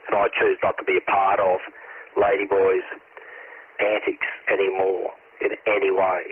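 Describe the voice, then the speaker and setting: A man's voice: low-pitched, completely flat delivery, normal speed, breathy edge, no room sound. An Australian man chatting casually over CB radio in a blunt, rambling, sweary manner. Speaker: CB radio operator. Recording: muffled, noisy CB radio transmission.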